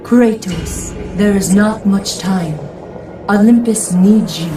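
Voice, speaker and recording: A woman's voice: medium pitch, lightly acted. A woman voicing a solemn, echoing goddess. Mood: stern. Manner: solemn, slow, commanding. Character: stern commanding goddess woman. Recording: echoey distant voice over music.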